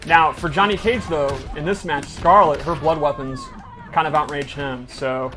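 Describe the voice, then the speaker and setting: A man's voice: fairly high pitch, steady tone, moderate pace. A man giving casual video game commentary while he plays along. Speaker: commentator and player. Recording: loud game music behind speech. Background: fighting game music and sounds.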